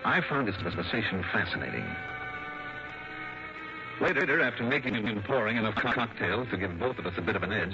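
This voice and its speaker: An actor playing a suave insurance investigator in a 1960s radio detective drama, measured, wry and probing. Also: male voice, fairly low pitch, natural voice. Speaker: lead investigator and narrator. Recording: muffled, noisy old radio broadcast.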